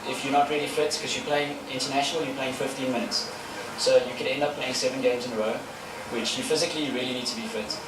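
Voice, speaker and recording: A man's voice: medium pitch, fairly even intonation, fast talker. A man, a paintball player chatting in an outdoor interview with lots of fillers and restarts. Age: 30s. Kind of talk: casual interview answers. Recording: echoey, far from the mic, with noise.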